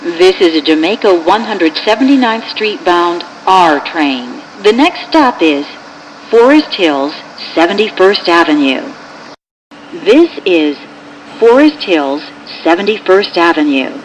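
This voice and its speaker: An American woman giving formal recorded subway announcements in measured, pieced-together phrases. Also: fairly low pitch, very animated, low-quality recording. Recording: clear vehicle and air-conditioning noise.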